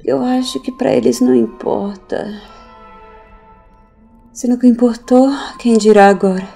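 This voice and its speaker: A mellow, creaky voice, acted by a woman for an unhinged, sardonic woman in an audio drama. She muses theatrically and nihilistically, with faint music behind her. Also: fairly high pitch, steady tone, a little slow. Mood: tender, reassuring. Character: unhinged woman recounting her cultish family's cruelty, mocking, nihilistic.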